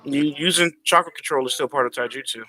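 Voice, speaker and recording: A man in casual podcast chat, correcting others in a quick, matter-of-fact way. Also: mid-range voice, bright, forceful tone, faint music behind, low-quality recording.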